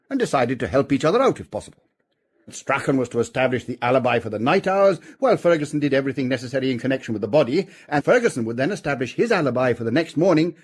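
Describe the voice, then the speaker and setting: An actor playing a confident, formal police chief constable in a detective radio drama, reasoning things out in an articulate, deliberate way. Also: male voice, mid-range voice, slightly rough.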